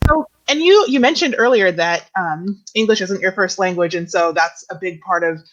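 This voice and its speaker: A co-host asking friendly, steady questions in an interview. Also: medium pitch, normal speed, lo-fi sound, noisy background. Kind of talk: interview hosting.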